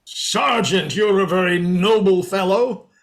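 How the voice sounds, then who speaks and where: Man acting pompous country squire, high-pitched, hearty, slow grand delivery, exclamatory, radio play. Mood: hearty, excited.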